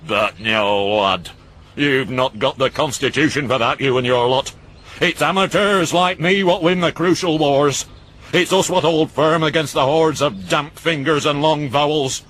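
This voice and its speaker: A man's voice: a little high, fairly bright tone, pitched above the performer's own voice. A man voicing a cantankerous old uncle who mocks and rants. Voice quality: gruff, rambling, scornful. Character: cantankerous old northern uncle, sharp-tongued and mocking.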